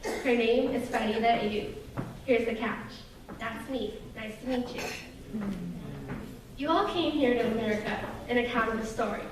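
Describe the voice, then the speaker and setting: A young woman from Russia delivers a prepared speech to an audience in an earnest, measured way. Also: a little high. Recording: echoey hall from far off, noisy.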